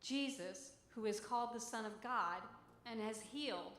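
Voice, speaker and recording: A woman's voice: a little high, moderate pace, fairly bright tone, lightly acted. A woman playing an anxious council member from biblical times, giving an earnest, reflective dramatic monologue. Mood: uneasy. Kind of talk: dramatic monologue reading in church. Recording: echoey church, music behind.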